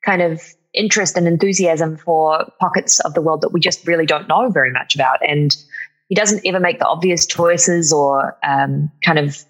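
Chatty New Zealand woman, low husky voice. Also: normal speed, rough recording. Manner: chatty, laughing, lilting upspeak. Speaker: guest. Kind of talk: casual interview chat.

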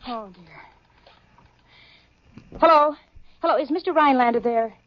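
A woman's voice: high voice, a little slow. An actress playing a level-headed young woman in a 1940s radio sitcom, dry and exasperated. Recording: lo-fi old radio broadcast with faint hiss.

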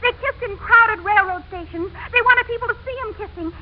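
A starry-eyed girlish romantic in an old radio comedy, with an extremely high voice. She swoons and gushes dramatically over every word. The recording is muffled, with loud noise. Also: fairly quick. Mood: dreamy, gushing. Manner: breathless, swooning, dramatic gushing. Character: gushing, starry-eyed young woman infatuated with irresponsible romantic men. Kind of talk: 1940s radio sitcom acting.

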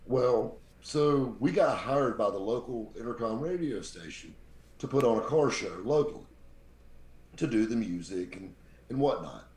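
Man, very deep voice, lively storytelling, echoey room. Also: moderate pace. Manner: animated, mimics voices in his stories. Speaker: podcast guest, radio show host. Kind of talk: casual storytelling.

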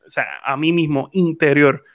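A man's voice: fairly high pitch, fairly even intonation, normal speed, a bit bright. Chatty Puerto Rican man in his twenties. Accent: Puerto Rican Spanish. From Puerto Rico. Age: 20s. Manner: rambling, frequent restarts and fillers. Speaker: podcast host. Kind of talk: casual chat.

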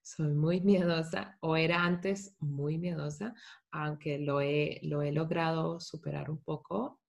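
Low-voiced, creaky woman hosting a podcast. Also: fairly even intonation, takes it slowly, a little mellow, highs cut off. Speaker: podcast host. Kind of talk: podcast interview conversation.

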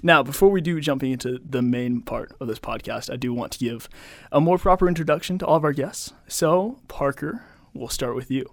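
A man's voice: mid-range voice, fairly quick, vocal fry, no echo. A young man hosting a casual podcast, upbeat and enthusiastic as he questions his guests. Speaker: podcast host.

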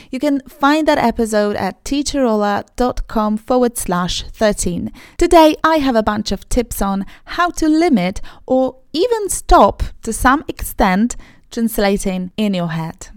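A woman's voice: a little high, a little mellow, no room sound, studio quality. A woman from Poland with a Polish accent, hosting an English-learning podcast in an upbeat, emphatic teaching manner. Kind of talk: podcast lesson, talking to learners.

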